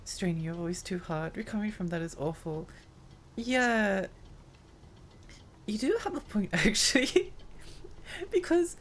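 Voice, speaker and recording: A woman's voice: medium pitch, a little hiss or hum. Giggly woman streamer with a strained voice. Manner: chatty, giggly, rambling. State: strained, tiring voice. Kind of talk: live game stream chat.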